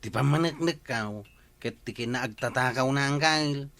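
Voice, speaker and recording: Suspicious Ilocano-accented village official, played by a man, bright and tense, mid-pitched, quick to accuse, comedy drama. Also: close to an everyday voice. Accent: Filipino, Ilocano.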